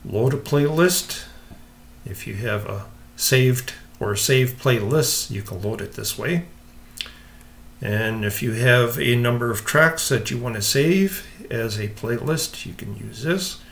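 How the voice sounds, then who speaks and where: A man hosting a casual software walkthrough, explaining step by step in an informal, unhurried way. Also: mid-range voice, creaky. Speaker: software tutorial host.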